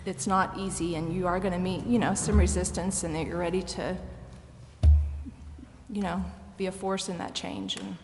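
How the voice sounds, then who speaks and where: A woman's voice: on the low side, steady tone, normal speed. Echoey American woman questioning at a meeting. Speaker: city council member. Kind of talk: deliberative public meeting discussion. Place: indoor council chamber. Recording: echoey meeting chamber with noticeable background noise.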